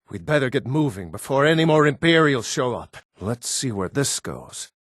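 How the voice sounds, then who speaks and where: A man's voice: medium pitch, fairly bright tone, no echo, studio quality. An actor playing a steadfast, plain-spoken Nord rebel who urges his comrades on in a fantasy video game. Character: rugged, steadfast Nord rebel man, wry and urgent. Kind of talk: fantasy game dialogue lines.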